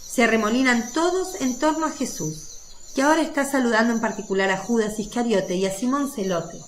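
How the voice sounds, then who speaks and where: A woman's voice: on the high side, fairly quick. A woman narrating a religious radio drama in a descriptive, literary reading style. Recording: faint background noise of birds and crickets.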